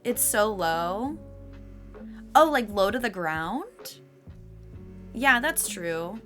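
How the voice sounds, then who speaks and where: A casual woman streamer chats with her viewers in an easy, mid-pitched voice that carries a slight rasp. She laughs often and talks along freely while faint music plays beneath her. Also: very animated, very slow, a bit bright. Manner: chatty, laughs often, reacts to chat. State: slightly raspy voice. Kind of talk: casual live-stream chat.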